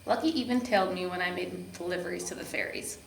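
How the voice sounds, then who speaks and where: A woman reading a children's storybook aloud with expressive narration. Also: lowish voice, fast talker, gravelly. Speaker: park district program supervisor reading to children. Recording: echoey room with background noise.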